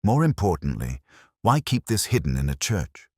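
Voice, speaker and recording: A man voicing a patient, dryly witty police inspector in a mystery story, understated and deliberate. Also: low voice, big pitch swings, darkish tone, no echo, studio quality, voice pushed up. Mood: intent, calm.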